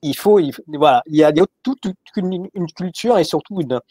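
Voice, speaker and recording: A man hosts a web panel in a slightly high voice, teasing the guests and tripping over his words as he goes. The sound is dry and close, with no room to it. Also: lively intonation, warm tone, slightly rough, dry recording. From Paris. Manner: joking, halting with many repeated words. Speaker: webinar moderator. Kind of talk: casual panel discussion.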